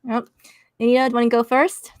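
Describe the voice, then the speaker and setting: A woman from Canada hosting a live-streamed panel discussion, casual and halting with frequent fillers, speaking over a video call. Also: fairly high pitch, flat delivery, a little mellow, clear, resonant tone, dry recording. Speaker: host.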